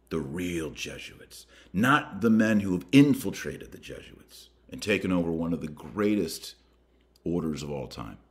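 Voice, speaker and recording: A man with a deep, low-pitched voice speaks as a religious podcast host. He exhorts his listeners emphatically, pausing and repeating for weight, and mixes in colloquial turns of phrase. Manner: emphatic, preachy, with dramatic pauses and repetition.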